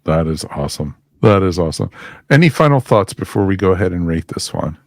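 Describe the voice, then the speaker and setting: A man's voice: low voice, sing-song, a little fast, dry recording, clean studio sound. A man hosting a casual podcast review chat, leading the discussion with brief, wry interjections. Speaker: podcast host.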